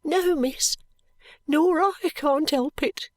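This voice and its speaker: A woman voicing a timid little girl maid who speaks softly, politely and breathlessly, close to tears. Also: high voice, slow pace, dry recording, voice pushed up. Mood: tearful, thankful. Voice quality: soft, whispery.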